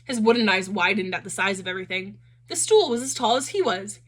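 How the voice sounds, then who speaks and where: A woman reading a children's storybook aloud in an expressive, animated storytelling manner. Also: mid-range voice, fairly quick, breathy edge.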